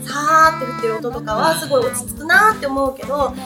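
A woman's voice: high voice, fast. A woman hosting a casual radio talk show, switching between polite announcing and informal chat. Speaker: radio host. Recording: light music bed under the voice. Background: background music.

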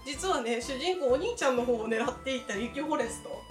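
A woman hosting a casual round-table radio chat, giggly and animated in manner. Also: sits high, brisk. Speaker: host of a voice-actor radio talk. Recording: roomy sound with background music.

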